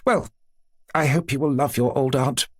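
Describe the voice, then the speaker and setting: A man's voice: medium pitch, fairly even intonation, normal speed, no room sound, clean studio sound, natural voice. A man reading aloud, voicing a chatty aristocratic princess who talks insistently, as though someone were contradicting her. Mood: gracious. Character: chatty aristocratic woman, princess, strict mother.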